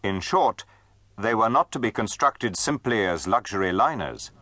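A man's voice: on the low side, expressive, normal speed, pushed and bright. A man narrating a dramatised history from a script.

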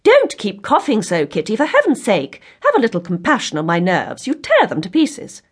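An excitable, self-pitying middle-aged mother from an audiobook, acted out by a woman. Her pitch climbs high and swings widely as she exclaims. The delivery is dramatic and fretful, with a slightly husky edge. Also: fairly quick. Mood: resentful scolding. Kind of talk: audiobook character acting.

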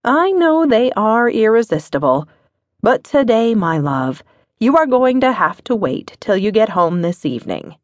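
A woman's voice: fairly high pitch, sing-song, a little slow, no echo, highs cut off, voice pushed up. Woman playing a teasing, mock-firm young wife. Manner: mock-firm, bright and affectionate.

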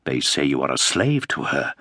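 A man voicing a coarse, scornful Elizabethan courtier with a low, contemptuous drawl. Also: sing-song, normal speed, bright, forceful tone, no room sound, clean studio sound, heavily acted.

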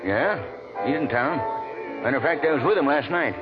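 A man's voice: on the high side, animated, moderate pace, close to an everyday voice. An old-time radio drama recording, muffled, with music behind. A male actor plays an older small-time barker, folksy and loyal, whose voice bobs up and down in a streetwise vernacular. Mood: guarded. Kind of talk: 1940s radio acting. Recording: dull old radio broadcast with background music.

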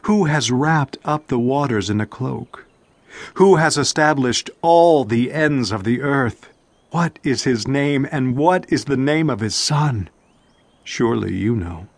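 An actor playing a solemn ancient sage, reciting scripture in a theatrical, deliberate and emphatic manner in a dramatized audio Bible. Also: male voice, medium pitch, lively intonation, dry recording. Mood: weary, questioning. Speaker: Bible narrator and reader.

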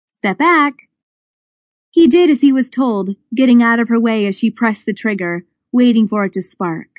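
A woman reading scripted suspense fiction as an audiobook narrator, with expressive storytelling. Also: a little high, normal speed, no echo, clean studio sound. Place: dry studio.

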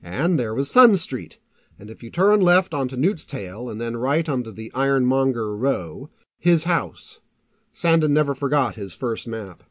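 Expressive male audiobook narrator, lively intonation. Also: mid-range voice, unhurried, dry recording, clean studio sound. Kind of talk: scripted storytelling narration of epic fantasy.

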